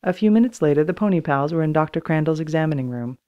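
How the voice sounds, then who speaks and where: A woman's voice: low voice, animated, fast, warm tone, highs cut off. A woman reading scripted children's book narration as an audiobook narrator.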